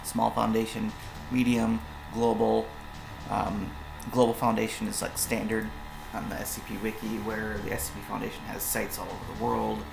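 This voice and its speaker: Hoarse, low gravelly man explaining game rules. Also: monotone, reverberant, over music, low-quality recording. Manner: rambling, many fillers and restarts, explains with quick examples. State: hoarse, voice going. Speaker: game designer explaining his own tabletop RPG. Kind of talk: casual walkthrough of game rules on a stream.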